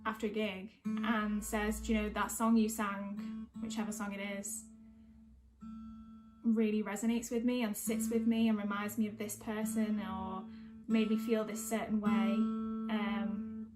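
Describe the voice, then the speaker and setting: A woman's voice: mid-range voice, level tone, a little slow, music in the background. Woman singer-songwriter chatting informally. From North West of England. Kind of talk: casual chat between songs.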